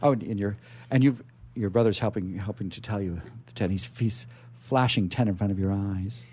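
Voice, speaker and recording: A man hosting a radio show, chatting and reading aloud in a rambling, halting way, often repeating words. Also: lowish voice, warm tone, breathy edge. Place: radio studio. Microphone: studio microphone.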